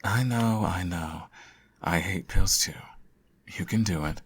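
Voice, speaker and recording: A man playing a sardonic, theatrical supervillain who fusses over his nemesis in an ASMR audio roleplay, his voice slightly husky. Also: deep voice, takes it slowly, full character voice. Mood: caring, fussing. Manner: theatrical, teasing, sarcastic.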